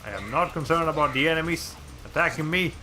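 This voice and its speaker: A male streamer with a raspy voice giving casual, rambling commentary while playing a game, often restarting his sentences. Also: fairly high pitch, animated, quick pace, pushed and bright, faint music behind. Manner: rambling, chatty, frequent restarts.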